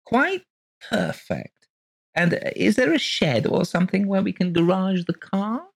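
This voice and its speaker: Guy putting on a fluttery gushy middle-aged lady, way up high, swoops all over, dry sound. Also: big pitch swings, measured pace, creaky, slightly rough, dry recording, full character voice. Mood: gushing. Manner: effusive, breathless, fluttery. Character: gushing genteel middle-aged woman lodger.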